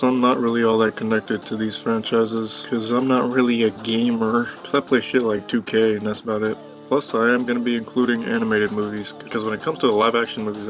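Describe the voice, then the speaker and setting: A young man giving a casual review voice-over in a blunt, slangy, profane manner. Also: mid-range voice. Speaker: YouTube movie reviewer. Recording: music bed behind voice. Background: background music.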